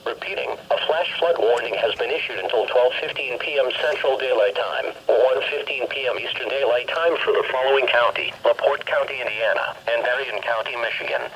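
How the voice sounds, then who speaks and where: A synthetic male announcer with a mid-pitched voice reads official weather bulletins. The delivery is formal, measured and impersonal. It comes through a weather radio with some noise around it. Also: lo-fi sound. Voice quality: synthetic text-to-speech voice. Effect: weather radio broadcast. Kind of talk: scripted weather alert and conditions readout. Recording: noisy weather radio picked up in a room. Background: background noise.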